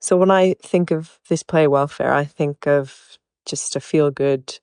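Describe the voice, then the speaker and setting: A woman from Hungary, a native Hungarian speaker, explaining her role in an interview with a thoughtful, measured manner. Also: low-pitched, a little mellow, smooth, dry recording, studio quality. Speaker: actor. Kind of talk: interview answers.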